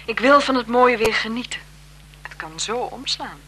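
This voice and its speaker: A woman's voice: fairly high pitch, expressive, moderate pace, pushed and bright, slightly rough, heavily acted. An actress playing a haughty married aristocratic lady, secretly seductive and evasive, in a poised, languid 1970s radio drama style. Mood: cool, evasive.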